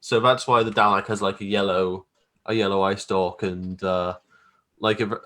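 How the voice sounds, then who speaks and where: A man's voice: lowish voice, a bit bright. A man talking casually as an interview guest about his creative work, hesitant and thinking aloud. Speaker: guest, graphic artist.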